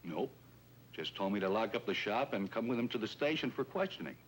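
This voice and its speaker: A man's voice: on the low side, expressive, brisk, natural voice. A man playing a wary, folksy shopkeeper who has been swindled, hesitant and plain-spoken, in a 1950s police drama. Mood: earnest, rueful. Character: wary, folksy male shopkeeper, robbery victim. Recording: noisy old 1950s film soundtrack.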